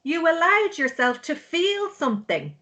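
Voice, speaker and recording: Woman playing a slow, earnest, insistent confidante. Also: sits high, very animated, sharp tone, heavily acted. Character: caring, insistent confidante urging a man onward. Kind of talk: drama table read.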